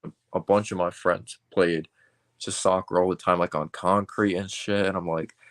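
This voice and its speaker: A man of about twenty from Georgia talks in a low-pitched voice with a dry, close sound. He chats casually about sports, correcting himself and leaning on "like" as he thinks aloud. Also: flat delivery, moderate pace, fairly bright tone, no echo. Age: around 20.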